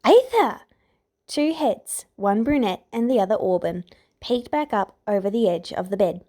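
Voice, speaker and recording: Woman narrating a story, mid-pitched voice. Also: moderate pace, warm tone. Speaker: podfic author and narrator. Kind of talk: scripted fan fiction narration.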